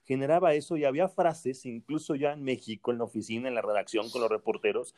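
A man's voice: mid-range voice, fairly even intonation, quick pace, a little mellow, dry recording, studio quality. A man, a sports journalist, reminiscing with anecdotes and quoting a friend in a raised voice. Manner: laughing anecdotes, quotes in a raised voice. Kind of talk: reminiscing in conversation.

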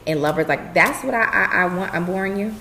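Woman, twenties, podcast co-host, animated storyteller, rapid, roomy echo. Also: medium pitch. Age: 20s. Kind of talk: casual chat. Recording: echoey room with faint noise.

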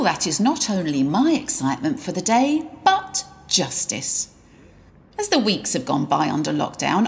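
A slow, prim, self-satisfied killer, played by a woman who savours each sentence. Her pitch rises and falls a lot while she gloats. She sounds righteous and smug. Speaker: narrator. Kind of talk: amateur audio drama acting.

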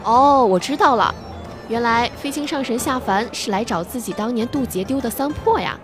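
A woman's voice: a little high, animated, moderate pace, music in the background, natural voice. A woman playing a playful immortal in a fantasy audio drama, arch and teasing with mock reverence.

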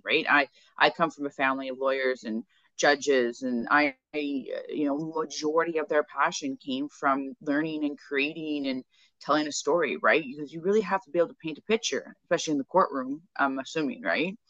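A woman's voice: low voice, a bit bright, no room sound. A woman hosting a podcast, gushing and enthusiastic, filling her speech with "like" and "you know". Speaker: podcast host. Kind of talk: casual interview chat. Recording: dull dry lo-fi mic.

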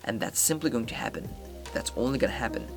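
A man's voice: medium pitch, fast talker, music in the background. A young man from Turkey, a native Turkish speaker, hosting a solo podcast in a determined, earnest way with many fillers and restarts. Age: young adult. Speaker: podcast host.

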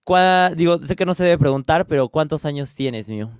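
A man with a Mexican accent hosting a casual podcast interview. His voice is smooth and clear, recorded dry with no room sound, and he talks in a loose, chatty way. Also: a little high, expressive, normal speed, dry recording. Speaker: podcast host.

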